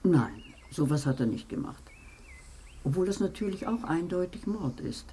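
A woman's voice: fairly low pitch, moderate pace, a little hiss or hum. An actress playing a chatty, motherly and matter-of-fact woman crime novelist in a German radio drama. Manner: conversational, animated, matter-of-fact.

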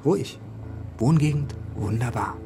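A grumbling, excitable young techie. His delivery is lively, wry and slangy, in a naturalistic radio drama manner with music behind. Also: mid-range voice, unhurried. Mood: wry, showing off. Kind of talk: naturalistic German radio play acting.

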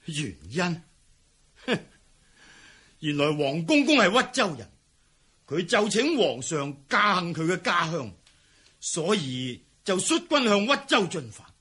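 A man's voice: high-pitched, very animated, slow pace, pauses often, pushed and bright, slightly rough, heavily acted. A man playing a cowardly, self-serving fleeing palace eunuch in a historical radio drama, stammering and sighing as he talks. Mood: anxious recounting.

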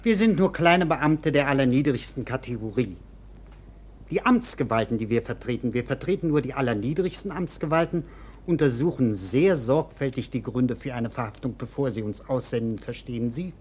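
Husky, officious guard. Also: male voice, medium pitch, animated, moderate pace, darkish tone. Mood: officious, lecturing. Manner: curt, bureaucratic. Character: stern, officious low-ranking guard lecturing the arrested. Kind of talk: German radio drama acting.

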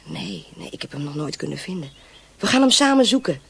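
An actress playing a dreamy, romantic young woman in love in a radio drama, wistful and often hushed and breathy. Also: deep voice, very animated, fairly quick, exaggerated voice. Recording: clear outdoor nature noise.

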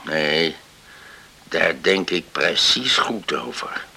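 An actor in a radio play playing a stern, stubborn middle-aged father with a rough voice and a curt, deliberate, unyielding manner. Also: a bass voice, animated, sharp tone, full character voice. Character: stern, stubborn island father guarding his daughter.

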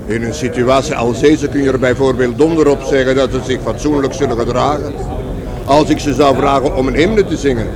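A man's voice: medium pitch, fairly even intonation, fairly quick, a crowd in the background, lightly acted. An actor playing a folksy, eager-to-please bandsman in a radio play, garrulous and familiar in his colloquial talk. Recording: noisy vehicle ambience behind voice.

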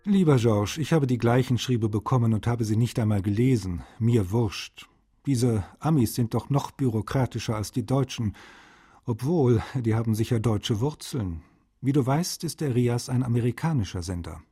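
An actor playing a fatherly, caring and gently nagging male translator, reading letters to a friend in a radio feature. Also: medium pitch, moderate pace, husky, lightly acted. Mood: dismissive, amused.

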